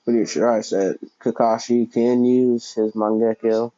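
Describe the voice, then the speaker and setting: A young man casually telling a fan fiction story out loud, rambling and correcting himself as he goes. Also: mid-range voice, fairly even intonation, measured pace, dull audio. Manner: rambling, self-correcting, many fillers.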